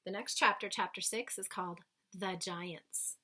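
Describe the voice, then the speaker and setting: Female children's read-aloud narrator, bright tone, unhurried delivery. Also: medium pitch, fairly even intonation. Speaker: storybook reader.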